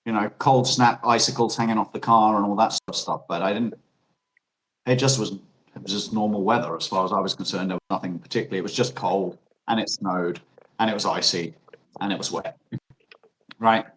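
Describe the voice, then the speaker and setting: A man's voice: lowish voice, steady tone, brisk, fairly bright tone, rough recording. A man with an Australian accent, chatting casually and telling rambling stories full of restarts and self-corrections. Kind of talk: casual chat.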